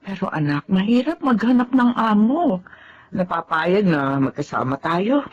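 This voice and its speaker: A woman's voice: mid-range voice, sing-song, measured pace. Woman voicing a timid housemaid mother, pleading tone, swings up and down a lot, muffled lo-fi sound. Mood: worried. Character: put-upon middle-aged housemaid mother, meek. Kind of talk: radio drama acting. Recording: dull lo-fi sound.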